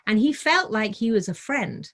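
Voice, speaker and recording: A woman's voice: on the high side, sing-song, normal speed, a bit bright. A middle-aged woman who is a children's author, telling and reading a story for young listeners. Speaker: guest author reading her children's book. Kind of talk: casual storytelling, then read-aloud of a picture book.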